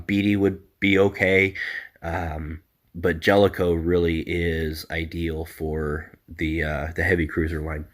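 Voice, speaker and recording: A young man with a congested, nasal voice casually talking through a game build, with lots of ums and a relaxed, self-deprecating manner. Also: low-pitched. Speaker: gaming channel host. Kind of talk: casual game review commentary.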